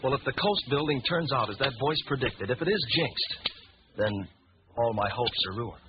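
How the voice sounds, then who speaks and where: An actor playing an earnest, well-mannered architect in an old-time radio drama, with dull, noisy sound. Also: male voice, mid-range voice, lively intonation, moderate pace, natural voice. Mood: worried. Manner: earnest, polite.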